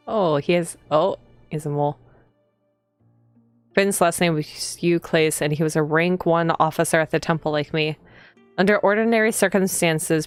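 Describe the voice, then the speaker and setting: Low-pitched woman streamer with playful commentary. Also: no echo. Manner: playful, teasing, giggly asides to viewers. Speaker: streamer playing a visual novel. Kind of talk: casual live gameplay commentary and reading aloud. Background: game music.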